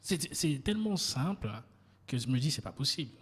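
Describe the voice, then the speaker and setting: A man from Ivory Coast hosting a casual interview podcast, animated and emphatic, often repeating himself. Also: fairly high pitch, moderate pace, husky. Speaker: podcast host. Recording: long hall reverb.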